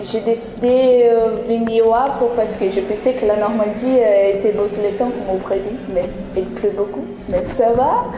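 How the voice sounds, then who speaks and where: A young woman from Brazil, an exchange student answering quickly in hesitant learner's French. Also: a little high, steady tone, background noise. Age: young adult. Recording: echoey room from far off.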